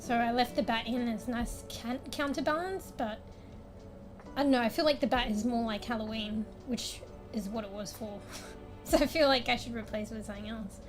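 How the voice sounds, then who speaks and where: A young woman streamer, a little raspy today, chatting casually at home into a desk mic, rambling, laughing a lot and swearing now and then. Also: fairly high pitch, normal speed. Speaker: game streamer. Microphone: desk microphone with fan blowing on it. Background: soft background music.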